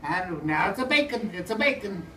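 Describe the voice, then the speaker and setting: A woman's voice: lowish voice, animated, moderate pace, close to an everyday voice. A woman playing a brusque, grumbling pizza maker in broken English for an improvised comic puppet show. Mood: busy muttering. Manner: broken English with dropped words. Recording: roomy, distant mic with background noise.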